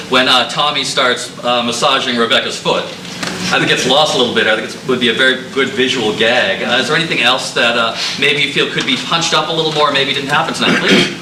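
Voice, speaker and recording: A man's voice: medium pitch, fairly even intonation, fast. A man casually moderating a live audience talkback on a theater stage, chatty and joking. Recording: distant, echoey, very noisy theater with audience.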